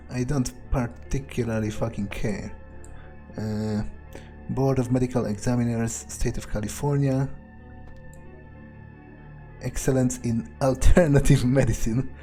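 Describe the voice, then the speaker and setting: A man with a mellow, relaxed voice plays through a game in character. He thinks aloud haltingly, musing with dry understatement over a light bed of music. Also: mid-range voice. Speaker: video game player role-playing his character. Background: faint music.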